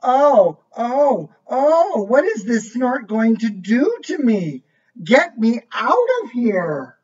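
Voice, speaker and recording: A woman's voice: medium pitch, huge pitch swings, slow pace, breathy edge, full character voice. A woman voicing a small, anxious baby bird that is lost, pleading and calling out, on a dull lo-fi recording. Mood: frightened.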